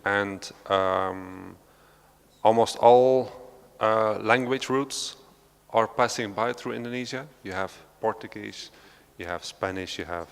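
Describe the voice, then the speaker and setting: A man's voice: lowish voice, slow, gravelly, smooth. A man from Belgium speaking on a panel at an art centre, unscripted and hesitant, with many fillers and restarts. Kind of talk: unscripted talk at a panel discussion. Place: large hall of an art centre. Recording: long hall reverb.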